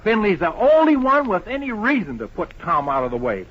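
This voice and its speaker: Blustery cowboy sidekick, high husky voice, folksy n worked up, muffled old radio show. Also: male voice, huge pitch swings, brisk, exaggerated voice. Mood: indignant. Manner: blustery, folksy Western talk. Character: excitable, indignant cowboy sidekick, folksy and loyal. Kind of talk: 1950s radio Western acting.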